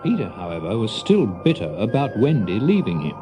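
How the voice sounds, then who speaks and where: Expressive male storyteller over music. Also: lowish voice, brisk. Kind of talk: dramatic scripted storybook narration.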